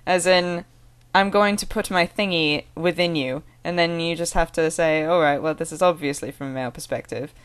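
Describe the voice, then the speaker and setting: A woman from the American Northwest speaking in a put-on British accent, chatting casually as a vlogger in a rambling, halting, amused way. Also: on the low side, a bit bright. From American Northwest (Oregon). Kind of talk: casual chat to camera with read-out one-liners.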